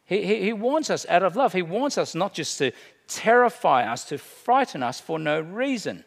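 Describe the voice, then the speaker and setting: A middle-aged man preaching a sermon, emphatic, swinging between hushed and loud delivery. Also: high voice, very animated, moderate pace, creaky. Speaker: pastor. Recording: long hall reverb.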